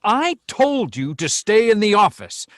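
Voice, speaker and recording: A man's voice: high-pitched, very animated, a little slow, a bit bright, no room sound, heavily acted. A man playing an impatient, scolding boss of a private investigation agency in a screenplay table read. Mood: stern, exasperated.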